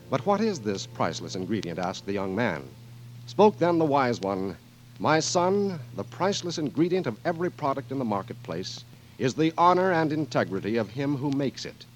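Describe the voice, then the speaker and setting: Animated American man, 1940s radio announcer. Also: mid-range voice, poor audio. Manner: measured, formal. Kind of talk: 1940s radio announcing, scripted sponsor message. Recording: vintage 1940s radio broadcast, music behind.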